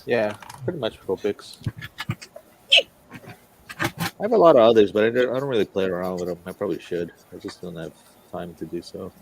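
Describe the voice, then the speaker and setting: A man with a fairly low voice talks casually as a podcast co-host. He rambles and hedges, feeling his way through his thoughts in an informal chat. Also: a little fast, creaky, pauses often, darkish tone, slight background noise, poor audio. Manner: rambling, hesitant, hedging.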